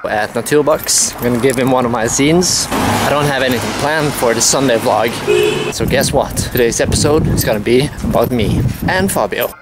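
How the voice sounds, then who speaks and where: A man's voice: mid-range voice, moderate pace, heavy background noise. A Norwegian man chatting casually as a vlog host in English with a Norwegian accent, joking and swearing freely. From Norway. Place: café. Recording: busy café chatter behind the voice.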